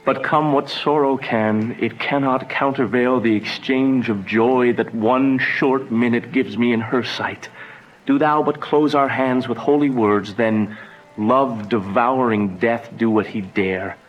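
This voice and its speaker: Man as slow, ardent young lover. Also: mid-range voice, close to an everyday voice. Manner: earnest, romantic. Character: ardent, lovestruck young male bridegroom. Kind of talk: Shakespearean stage-style drama. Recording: dull drama recording with faint music.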